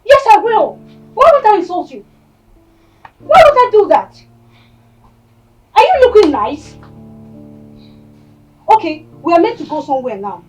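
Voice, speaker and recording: A woman's voice: very high-pitched, big pitch swings, a little slow, pauses often, soft background music, full character voice, low-quality recording. A woman playing a mocking, scolding younger sister. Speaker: actor in a comedy skit. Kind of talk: comic skit acting.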